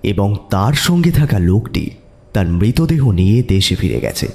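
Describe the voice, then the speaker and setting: A man playing a detective's curious, eager companion who also narrates the audio mystery, with a husky voice. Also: on the low side, big pitch swings, normal speed, darkish tone, exaggerated voice. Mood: storytelling. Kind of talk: audio story narration and dramatic dialogue. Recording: music behind the voice.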